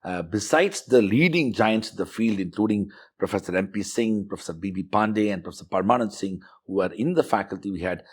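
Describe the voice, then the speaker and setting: A middle-aged man from South India recalling his student years in a relaxed interview, reflective and fluent with frequent fillers. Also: fairly low pitch, brisk, hardly any pauses, slightly rough. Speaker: interviewee, university professor. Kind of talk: conversational interview.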